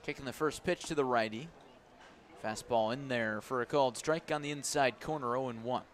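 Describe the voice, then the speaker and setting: A man's voice: medium pitch, normal speed. A man calling live baseball play-by-play on radio in a descriptive way, raising his voice on the action. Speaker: play-by-play announcer. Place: outdoors at a ballpark. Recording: outdoor ambient noise behind.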